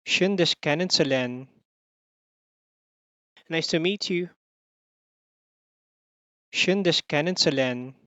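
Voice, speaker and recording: A language teacher delivers a scripted phrase lesson at an extremely slow pace. The voice is mid-pitched and deliberate, repeating short phrases for learners. The sound is dry and close, with no room around it. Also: fairly bright tone, no echo, highs cut off.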